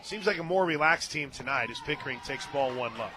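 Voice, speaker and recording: A man calling softball live on radio as play-by-play announcer, mixing clipped pitch calls with conversational asides. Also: lively intonation, brisk. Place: radio booth at an outdoor softball stadium. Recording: live stadium booth, clear crowd noise. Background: stadium crowd.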